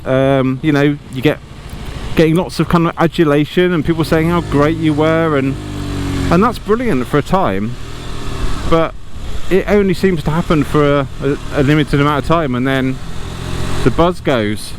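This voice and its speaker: A man's voice: on the high side, normal speed. A British man talking candidly and casually, thinking aloud in a rambling monologue while riding a motorbike, on a lavalier mic in his helmet. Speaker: motorcycle vlogger. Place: outdoors, riding a motorbike through city traffic. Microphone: lavalier inside a helmet. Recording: loud engine and traffic noise. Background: motorbike engine, traffic.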